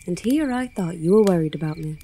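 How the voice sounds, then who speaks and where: A woman's voice: lowish voice, lively intonation, fairly quick, warm tone, a little hiss or hum. A woman acting a wistful, grieving young princess. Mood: guarded. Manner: wistful, introspective. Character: grieving young princess, bitter twin sister longing for her father. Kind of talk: audio drama acting with introspective narration. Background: music.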